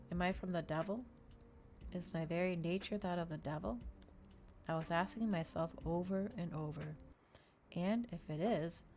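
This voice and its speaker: Low-pitched woman reading a story, ASMR style. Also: darkish tone. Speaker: streamer reading aloud. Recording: clear background ambient noise.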